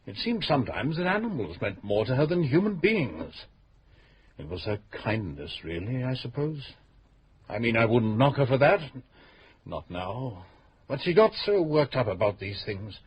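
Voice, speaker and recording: A man's voice: mid-range voice, big pitch swings, normal speed, breathy edge. A flustered middle-aged widower in a classic radio drama. His voice swings up and down a great deal, stumbling over fillers and trailing off evasively. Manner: hesitant, full of uhs, flustered. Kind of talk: 1970s-80s radio drama acting.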